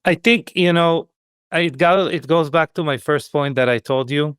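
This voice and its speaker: A man speaking as a podcast guest, thoughtful and halting, often restarting sentences as he searches for his words. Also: a little high, a bit bright, clear, resonant tone, no echo, clean studio sound. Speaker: podcast guest, skincare business expert. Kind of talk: conversational interview.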